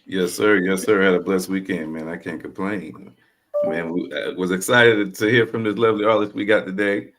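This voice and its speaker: A man hosting a podcast, opening the show with energetic, slangy banter. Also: normal speed. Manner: energetic, slangy, lively shifts in pitch. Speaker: podcast host. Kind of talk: hype podcast intro.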